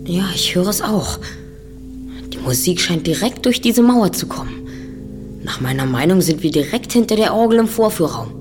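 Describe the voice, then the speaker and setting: A woman's voice: on the low side, very animated, normal speed, over music. A woman playing a self-assured, brainy teenage boy detective in an audio drama, precise and a little pompous. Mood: confident, lecturing.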